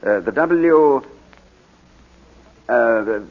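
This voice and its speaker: An actor playing a proud, defensive middle-aged English baronet in 1940s radio style, with clipped, dignified, guarded replies. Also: male voice, medium pitch, lively intonation, extremely slow. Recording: muffled old 1940s radio broadcast.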